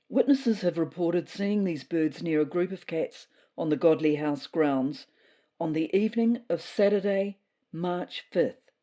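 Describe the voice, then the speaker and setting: A woman playing a self-important female cat who delivers rules in a formal, measured official-briefing manner for a comedy podcast. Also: on the low side, warm tone, lightly acted. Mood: solemn, official. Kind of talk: scripted comedy fiction podcast.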